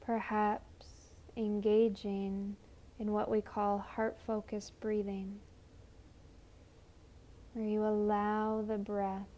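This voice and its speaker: A woman leading a guided meditation in a gentle, soothing, unhurried manner with long pauses. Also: medium pitch, highs cut off.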